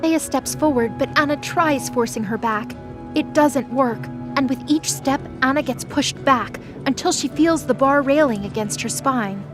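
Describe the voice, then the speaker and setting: A woman's voice: sits high, a little slow. A woman reading scripted story narration for a fantasy audio drama. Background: music.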